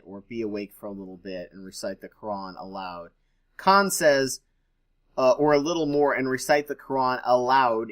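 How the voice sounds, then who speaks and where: A man hosts an informal read-along, switching between reading text aloud and commenting on it. His voice sits at an average male pitch. He comes across as skeptical, with a dry streak of sarcasm. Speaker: host.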